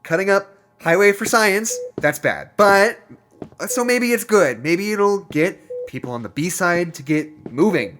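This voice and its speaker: Impatient rambler, high and animated. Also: male voice, slight background noise. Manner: agitated, talking things through aloud. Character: impatient, rambling male leader on a radio. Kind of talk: audio drama dialogue.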